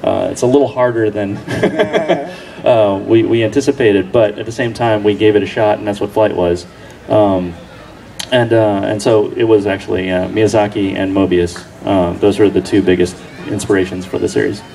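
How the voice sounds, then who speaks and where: A man answering on a panel at an outdoor book fair, halting with many ums and restarts. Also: fairly low pitch, fairly even intonation, creaky, warm tone. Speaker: comics creator, panelist. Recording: distant outdoor mic.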